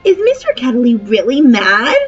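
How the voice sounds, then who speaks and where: A woman's voice: sing-song, normal speed, breathy edge, dull audio, faint music behind. A woman reading aloud voices a curious, outspoken young girl who speaks eagerly and a little indignantly. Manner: eager and indignant, pitched up and louder than the narration.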